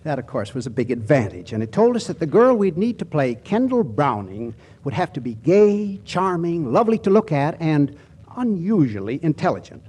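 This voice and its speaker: A man's voice: on the high side, very animated, normal speed, darkish tone, slightly rough. A man hosting a vintage 1940s radio broadcast, reading a droll, theatrical scripted introduction. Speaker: host and narrator.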